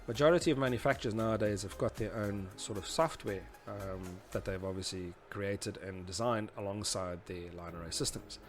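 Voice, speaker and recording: Man, low-pitched voice, informal tutorial presenter, conversational explaining, faint music underneath. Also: fairly quick, hardly any pauses. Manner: informal, conversational, often adds "you know" and "obviously". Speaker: presenter of a tutorial series on PA systems. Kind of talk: explanatory lesson, talking through diagrams.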